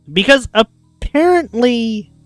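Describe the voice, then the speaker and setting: A livestreamer reading a visual novel aloud with expressive narration and casual, goofy asides. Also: mid-range voice, no room sound.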